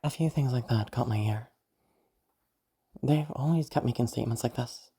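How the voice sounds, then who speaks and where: Girl doing a calm creepy sociopath telling their past, kinda raspy n dark voice, musing, audio roleplay. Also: very deep voice, exaggerated voice. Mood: detached reminiscing. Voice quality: husky, creaky. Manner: halting, musing, understated. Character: detached sociopath recounting a childhood kidnapping, eerily calm. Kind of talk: first-person audio roleplay monologue.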